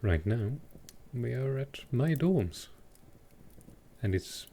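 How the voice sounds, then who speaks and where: A man as gentle vampire nobleman, very slow delivery, soft and formal, protective, audio roleplay, noticeable background noise. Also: medium pitch, sing-song. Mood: courtly, reassuring. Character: gentle old-world male vampire lord, protective and courtly. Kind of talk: roleplay audio drama, intimate monologue.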